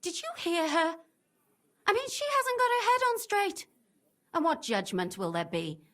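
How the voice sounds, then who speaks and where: A woman's voice: extremely high pitch, huge pitch swings, moderate pace, fairly bright tone, heavily acted. An actress playing a fierce, vengeful woman in a video game drama, menacing, mocking and impatient. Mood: vengeful anger. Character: fierce, vengeful young woman, threatening and sarcastic.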